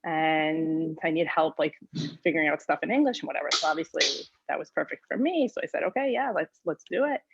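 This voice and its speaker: A woman's voice: deep voice, expressive, a little fast, gravelly, lo-fi sound, noisy background. A woman in her thirties from New York, giving a chatty personal presentation in a webinar, with many ums and likes. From New York, USA. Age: 30s. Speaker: presenter and nonprofit volunteer.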